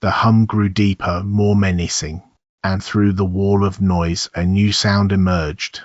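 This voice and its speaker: Very deep-voiced man narrating horror. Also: flat delivery, a little slow. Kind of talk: scripted horror story narration. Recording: muffled, roomy echo.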